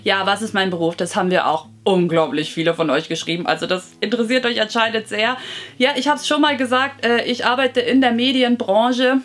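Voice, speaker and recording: A woman's voice: on the high side, brisk, fairly bright tone. A woman of thirty from Hesse with a slight Hessian German accent, chatting casually and informally to camera as she answers viewer questions. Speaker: beauty YouTuber.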